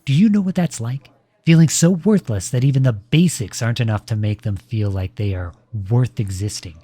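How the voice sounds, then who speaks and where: Audio roleplay boyfriend male actor: protective, courteous yet cutting, pointed formal delivery, medium pitch, very dry sound. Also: dark tone, no echo, close to an everyday voice. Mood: indignant, scornful. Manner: measured, formal, pointed phrasing. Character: protective boyfriend coolly confronting partner's ex, polite but cutting. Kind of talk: scripted audio roleplay monologue.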